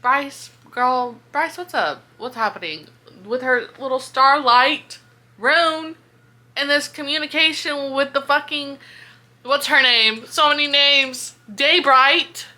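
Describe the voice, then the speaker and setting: A young woman with a high, bright voice talks unhurriedly through a book, thinking out loud. She is animated and exasperated and swears freely. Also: slow. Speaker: book reviewer reading along. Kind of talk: casual chat, thinking aloud.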